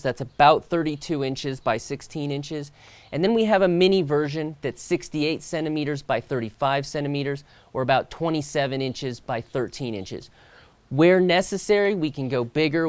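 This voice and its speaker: A man in his thirties presenting a product outdoors, explaining in a relaxed way with the odd filler and restart. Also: a little high, no echo. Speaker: company co-founder presenting product. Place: outdoors by a stone wall.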